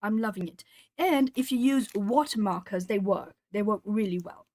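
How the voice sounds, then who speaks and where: A woman with a southern Brazilian Portuguese accent talks casually to her stream as she colours, reading out viewers' comments and stopping to gather her thoughts. Her voice sits at a middle pitch. The recording is close and dry, with no room echo. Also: darkish tone. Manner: chatty, reads chat comments aloud, pauses to think. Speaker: art streamer. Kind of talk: casual chat while colouring.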